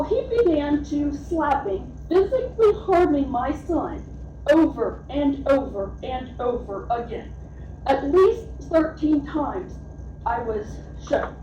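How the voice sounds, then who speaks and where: A woman's voice: high-pitched, animated, slow, warm tone, slight background noise. A woman in her thirties or forties, a parent speaking earnestly at a school board's public comment. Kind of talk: prepared public statement at a meeting. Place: school board meeting room. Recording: distant, echoey, dull meeting-room sound.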